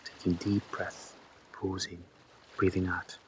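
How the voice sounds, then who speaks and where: A man leading a guided meditation with soft, breathy delivery, speaking gently and reassuringly to the listener in unhurried instructions. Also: low voice, little pitch movement, a little hiss or hum, low-quality recording.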